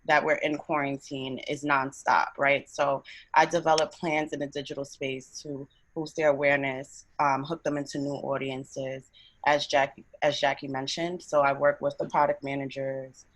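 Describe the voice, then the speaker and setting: A woman from Brooklyn, a panel guest describing her digital marketing work in a brisk, upbeat way. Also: very deep voice, flat delivery, dry recording, low-quality recording. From Brooklyn, New York. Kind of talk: online panel discussion.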